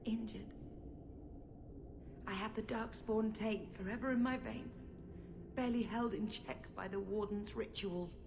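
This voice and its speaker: A woman's voice: mid-range voice, fairly even intonation, unhurried, pauses often, lightly acted. Woman playing a solemn young warrior, noisy background. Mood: bitter, weary. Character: young female warden, bitter, weary sister.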